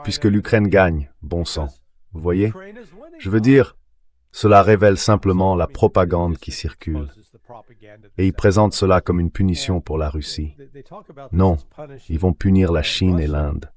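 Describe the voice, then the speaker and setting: A man's voice: sits low, fairly even intonation, unhurried, pauses often, darkish tone. A man giving political commentary as a guest, in a translated voice-over read by a synthetic voice.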